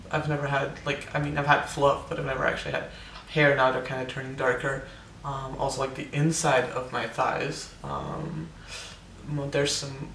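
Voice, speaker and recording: Young man vlogger, hesitant and casual, flat gravelly delivery with voice cracks, roomy distant recording. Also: mid-range voice, slight background noise. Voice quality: voice cracks, air breaking through on some notes. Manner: hesitant, many ums and restarts. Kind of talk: casual personal update to camera.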